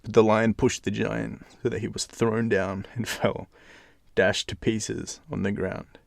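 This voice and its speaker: Gravelly Australian man telling a fairytale. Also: lowish voice, flat delivery, a little slow, dry recording. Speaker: storyteller, channel host. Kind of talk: expressive bedtime fairytale narration with casual asides.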